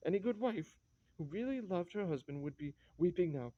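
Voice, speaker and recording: A woman's voice: fairly low pitch, animated, fairly quick, dry recording, highs cut off. An actress playing a stern, domineering old mother-in-law in a classic stage drama, imperious and disapproving. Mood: scornful.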